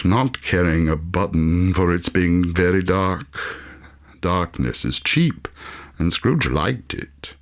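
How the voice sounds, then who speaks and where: A man reading a classic tale as dramatic, scripted narration, in a theatrical and deliberate manner with drawn-out phrasing. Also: sits low. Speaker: audiobook reader, actor.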